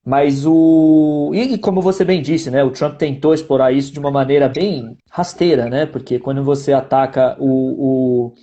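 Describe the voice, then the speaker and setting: A man's voice: medium pitch, steady tone, moderate pace, hardly any pauses, warm tone, dull audio. A man with a Brazilian accent giving explanatory political commentary in a didactic way, repeating points for emphasis and often adding tag questions.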